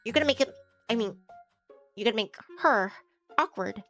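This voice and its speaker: A woman's voice: fairly high pitch, animated, normal speed, fairly bright tone, faint music behind. A woman voicing a flustered, defensive teenage schoolboy footballer in a visual novel, stammering as he protests. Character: flustered, defensive male student footballer, teenage, easily embarrassed.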